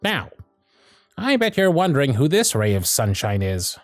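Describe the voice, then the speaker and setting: Casting: male actor as gruff, fond elf boss for ASMR roleplay, sardonic, very lively intonation, dry close sound, music behind. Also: medium pitch, normal speed, no echo, natural voice. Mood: stern, sardonic. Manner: bossy, teasing, sardonic.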